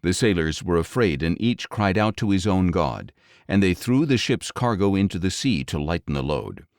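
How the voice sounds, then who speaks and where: A man reading scripture as an audiobook narrator in a measured, solemn, dramatic storytelling style. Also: low-pitched, lively intonation, a little mellow, no room sound, clean studio sound.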